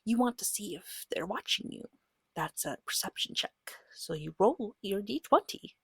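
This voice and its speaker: A woman giving a soft-spoken ASMR guide as a game master, gentle, patient and reassuring, with a breathy voice that often slips into a whisper, close to the mic. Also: mid-range voice, expressive, moderate pace, slight background noise. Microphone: close microphone.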